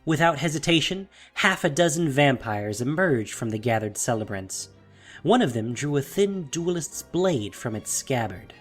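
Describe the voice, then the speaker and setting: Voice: medium pitch, big pitch swings, moderate pace. A narrator reading a scripted fantasy tale in a dramatic storytelling style. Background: soft music.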